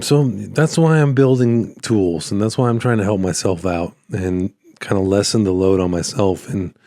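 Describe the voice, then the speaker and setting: Low-pitched, slightly hoarse man podcasting. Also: dark tone, no room sound, clean studio sound. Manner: rambling, halting, repeats phrases. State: hoarse, voice cutting in and out after illness. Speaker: podcast host, small business owner. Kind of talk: casual solo podcast talk.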